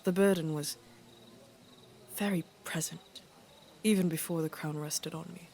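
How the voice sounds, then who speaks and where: Creaky, regal, weary queen played by a woman. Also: low-pitched, fairly even intonation, a little mellow, slight background noise. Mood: weary, reflective. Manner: stately, measured, wry. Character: weary, dryly witty aging queen, regal and fond. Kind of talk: intimate fantasy audio drama acting.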